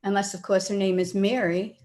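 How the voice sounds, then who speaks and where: A woman playing a self-possessed, gently frank and teasing young woman in old-time radio drama style. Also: mid-range voice, level tone, brisk, natural voice. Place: at home, recorded over a video call.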